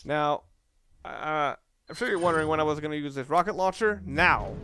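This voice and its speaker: Hesitant man over clear background noise. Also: fairly high pitch, normal speed, sharp tone. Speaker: let's play commentator. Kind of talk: casual gameplay commentary. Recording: clearly noisy home recording.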